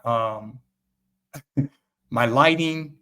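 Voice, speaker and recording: A man's voice: mid-range voice, very slow, fairly bright tone, smooth. A man in his fifties hosting a livestream, speaking earnestly and emphatically as he repeatedly addresses the fathers watching. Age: 50s. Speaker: host and fatherhood author. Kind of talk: motivational talk to viewers. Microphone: webcam.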